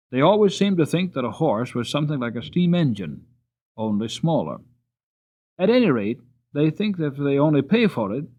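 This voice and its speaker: A man reading a classic story aloud dramatically, stretching words for emphasis. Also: mid-range voice. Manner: expressive, drawn-out emphasis. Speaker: audiobook reader. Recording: tinny phone-like audio.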